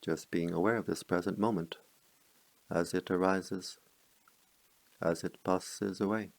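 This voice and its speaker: A middle-aged man, a meditation teacher, guiding a slow, calm meditation in a softly spoken voice with long pauses. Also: creaky, no room sound. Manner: chants Pali verses in a low murmur. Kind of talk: guided meditation.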